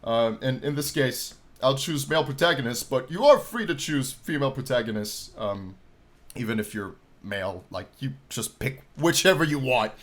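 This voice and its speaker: A man in his twenties hosting a game playthrough, reading story text aloud with theatrical, drawn-out delivery and casual asides. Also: mid-range voice, fairly bright tone. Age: 20s. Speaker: gaming channel host.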